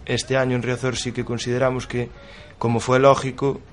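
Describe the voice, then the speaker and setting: Man, radio guest, earnest, measured. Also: mid-range voice, monotone, slight background noise. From Galicia, Spain. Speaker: supporters' club officer.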